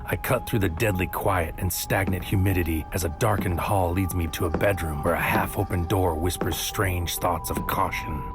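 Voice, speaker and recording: Brooding, low-voiced investigator narrator over music. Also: male voice, fairly even intonation. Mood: brooding, tense. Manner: brooding, literary, measured. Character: wary noir-like mind-infiltrating investigator narrating his mission, male. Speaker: narrator and lead character. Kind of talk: first-person scripted audio drama narration, present tense.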